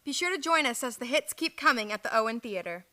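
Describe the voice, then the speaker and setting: A woman's voice: on the high side, lively intonation, fast talker, bright, forceful tone. A woman performing a scripted theater advertisement as promotional patter for radio. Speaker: ad announcer.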